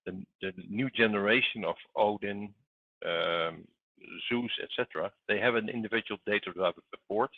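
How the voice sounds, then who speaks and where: Phone-like, lowish-voiced man explaining technical details. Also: moderate pace, no room sound. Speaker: webinar co-presenter, technical trainer. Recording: tinny phone-like line.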